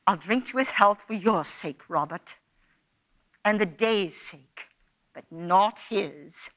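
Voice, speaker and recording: A woman playing a warm but easily indignant Victorian wife and mother in a radio play, with emphatic, tart delivery. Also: mid-range voice, takes it slowly. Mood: grudging, sarcastic.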